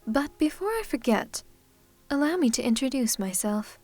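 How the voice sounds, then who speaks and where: Girl voicing a posh centuries old vampire hostess, formal n teasing, asmr roleplay, higher voice, faint music. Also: animated, moderate pace. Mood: teasing, welcoming. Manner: formal, refined, gently teasing.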